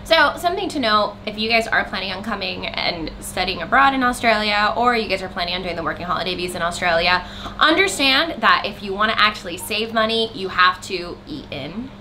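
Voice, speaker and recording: A woman's voice: medium pitch, lively intonation, a little fast, pushed and bright, a little hiss or hum. A young American woman chatting casually to her viewers in an upbeat travel vlog. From United States. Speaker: travel vlogger.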